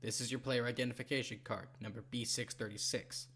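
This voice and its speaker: A man's voice: mid-range voice, fairly even intonation, brisk, a bit bright, natural voice. A man playing an officious, unbending registration clerk in a manga dub, reciting rules in a dry, procedural way. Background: music.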